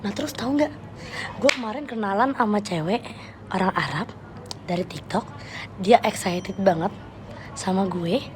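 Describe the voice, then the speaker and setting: A young woman with a Jakarta Betawi slang accent chatting casually with viewers on a live stream, playful and quick to laugh. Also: medium pitch, a little hiss or hum. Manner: chatty, playful, laughs often, switches registers.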